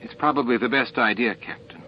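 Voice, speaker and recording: A man's voice: mid-range voice, brisk, close to an everyday voice. Blunt, fiery woman second-in-command read by a male narrator, dull lo-fi sound. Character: blunt, fiery female first officer. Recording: muffled, band-limited tape audio.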